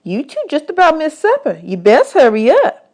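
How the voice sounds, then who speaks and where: A woman's voice: high voice, wildly swooping pitch, moderate pace, darkish tone, voice pushed up. A woman voicing a kindly, teasing camp woman in a storybook, folksy, motherly and reassuring. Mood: teasing, welcoming.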